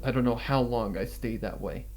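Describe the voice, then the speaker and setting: A male narrator with a mid-pitched voice reads a dark story in the first person. His delivery is brooding, deliberate and dramatic. Soft music plays behind him. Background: guitar music.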